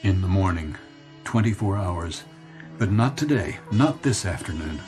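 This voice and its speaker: A man's voice: sits low, expressive, unhurried, over music. An actor in a radio drama playing a polite, harried ad executive in his thirties, hesitant and wistful. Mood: hesitant, weary. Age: 30s. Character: weary, polite, harried 36-year-old male ad executive.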